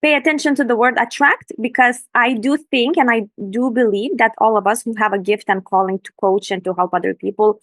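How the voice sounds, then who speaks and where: A woman's voice: a little high, steady tone, fairly quick, hardly any pauses, a bit bright, no room sound. Woman from lithuania, earnest presenter, dry sound, video call. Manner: enthusiastic, earnest. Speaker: Instagram business coach, guest. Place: room in Bali at night.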